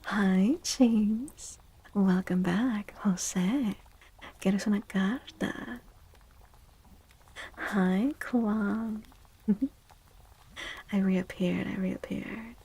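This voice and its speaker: Casting: female ASMR stream host, soft and breathy, slightly creaky, unhurried, hushed and gentle. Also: medium pitch, poor audio. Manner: slow, hushed, gentle, often slipping into near-whisper. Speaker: livestream host. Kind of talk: soft-spoken ASMR livestream chat.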